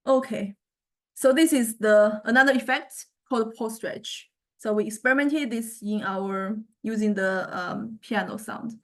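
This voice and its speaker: Higher-voiced woman giving a halting lecture demo. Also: a bit bright. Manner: halting, thinking aloud while demonstrating. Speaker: music lecturer. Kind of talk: informal software demonstration lecture.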